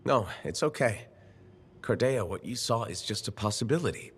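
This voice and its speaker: An actor playing a calm, reassuring male hero in video game dialogue. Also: lowish voice, moderate pace, slightly rough. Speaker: player character.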